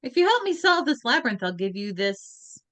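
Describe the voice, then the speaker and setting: A woman voicing a stubborn, determined teenage girl in a live video-call table read, lively in her delivery. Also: sits high, moderate pace. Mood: bargaining. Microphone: video call mic.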